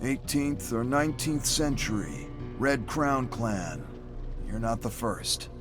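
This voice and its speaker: A man voicing a weary, knowing police detective in a dramatic story, speaking in a measured, cryptic way. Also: mid-range voice, animated, over music. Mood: weary, appraising. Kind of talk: dramatic audiobook character voice.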